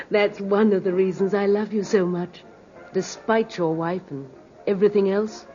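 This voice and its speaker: A woman's voice: medium pitch, steady tone, unhurried, mellow, lightly acted. An actress playing an earnest, yearning young woman in love, in romantic, melodramatic 1950s radio style. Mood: fond. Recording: dull old 1950s radio recording.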